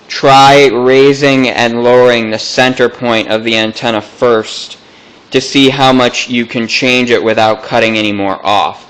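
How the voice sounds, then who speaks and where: Clear, bright-voiced man giving a casual tutorial. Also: mid-range voice, poor audio. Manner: casual, matter-of-fact explaining. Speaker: electronics hobbyist demonstrator. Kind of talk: unscripted hands-on tutorial.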